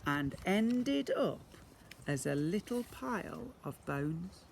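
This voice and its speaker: Male teacher reading a kids story, really expressive, dramatic pauses, bit of background noise. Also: sits high, vocal fry. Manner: theatrical, with deliberate pauses and repetition. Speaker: teacher reading to young children.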